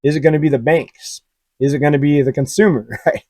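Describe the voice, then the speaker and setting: A man with a hoarse, raspy voice giving casual commentary on economic charts and talking straight to his viewers. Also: fairly high pitch, fairly even intonation, a little fast, dark tone, dry recording, studio quality. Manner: conversational, asks viewers directly, many fillers. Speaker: finance YouTuber.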